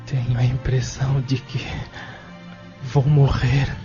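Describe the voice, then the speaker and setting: A man with a Brazilian accent playing a sincere, soft-hearted blind young man in an audio drama, with careful, formal phrasing. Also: medium pitch, flat delivery, slow, natural voice. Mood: grave, weak. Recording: dull lo-fi audio with music behind.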